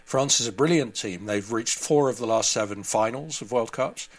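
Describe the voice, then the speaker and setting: A man's voice: mid-range voice, normal speed. A man speaking as an interview guest, giving conversational analysis and commentary.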